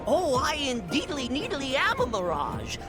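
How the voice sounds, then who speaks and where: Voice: high-pitched, wildly swooping pitch, normal speed. An actor voicing a quirky, spiny creature who works as a train conductor and talks in folksy wordplay. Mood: jolly. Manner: folksy wordplay, singsong delivery. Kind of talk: game cutscene voice acting. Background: game score music.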